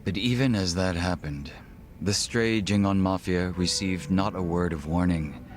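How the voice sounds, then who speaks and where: An actor playing a suave, guarded gang second-in-command in his thirties for a video game, speaking in a formal, measured, wry way. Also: low-pitched, a little hiss or hum. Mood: grave, reflective. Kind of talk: English video game voice acting.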